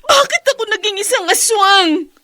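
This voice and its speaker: A woman's voice: very high-pitched, expressive, normal speed. An actress playing an anguished young woman turned aswang in a horror radio drama, tearful and melodramatic. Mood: tearful, desperate.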